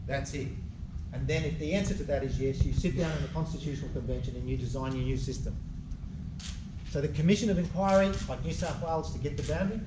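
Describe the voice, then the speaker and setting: An Australian man giving a blunt, emphatic speech at a public forum, firing rhetorical questions at the audience. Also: on the high side. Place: public meeting room. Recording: echoey, distant mic, background noise.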